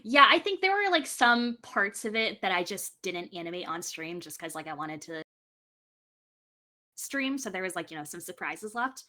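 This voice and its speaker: A woman's voice: medium pitch, very animated, normal speed, bright, forceful tone. A young woman chatting casually and upbeat as a guest on a podcast. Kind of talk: casual chat.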